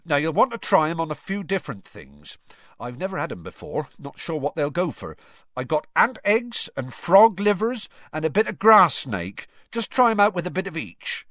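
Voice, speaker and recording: Man doing a gruff hearty giant gamekeeper. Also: fairly high pitch, big pitch swings, moderate pace, fairly bright tone, dry recording, clean studio sound, pitched above the performer's own voice. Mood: eager. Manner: gruff, clipped dropped-consonant speech. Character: big rough kindly male gamekeeper, enthusiastic.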